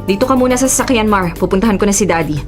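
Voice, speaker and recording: A woman playing a brisk, businesslike policewoman and dutiful daughter in a radio drama. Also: medium pitch, lightly acted. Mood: urgent. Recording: music bed under dialogue.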